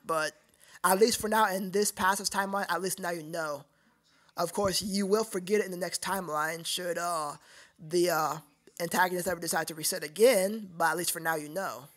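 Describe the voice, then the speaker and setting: A livestream host chatting casually with viewers in a halting, repetitive way, often addressing them as sir. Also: mid-range voice, fairly bright tone.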